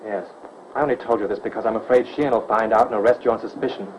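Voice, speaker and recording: An actor playing a devoted, earnest young male sleuth who jokes gently, in a 1930s film. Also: on the low side, steady tone, racing, natural voice, rough recording. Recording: old film soundtrack with faint hiss.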